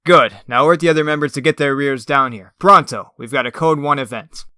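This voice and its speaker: A man's voice: on the high side, fairly quick, sharp tone, clear, resonant tone, dry recording, studio quality. A man playing a commanding, no-nonsense superhero team leader who barks clipped orders in a comic book dub. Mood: stern, urgent.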